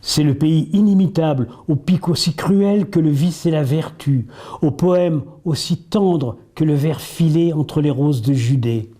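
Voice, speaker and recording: A man reciting poetry in a solemn style, with measured, deliberate phrasing and pauses between the lines. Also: a little high, warm tone. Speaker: poetry reader.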